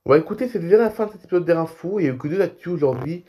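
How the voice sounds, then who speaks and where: Soft-spoken man with a head cold. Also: on the high side, animated, racing, husky. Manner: reads articles, then adds loose spoken asides. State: has a cold, speaks softly. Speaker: YouTube news show host. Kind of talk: reading aviation news aloud with comments.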